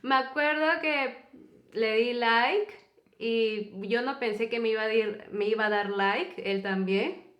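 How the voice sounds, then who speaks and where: A young Peruvian woman in her twenties co-hosting a couple's vlog, telling their story in a chatty, animated way. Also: fairly high pitch, measured pace, reverberant, lo-fi sound. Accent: Peruvian Spanish. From Peru. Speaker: co-host of a couple's channel.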